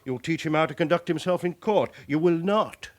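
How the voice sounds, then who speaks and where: A man acting a stern, brisk chief inspector in a British radio drama, authoritative and clipped in his delivery. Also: a little high, close to an everyday voice. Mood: brisk, commanding. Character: stern, brisk male police chief inspector, dryly commanding.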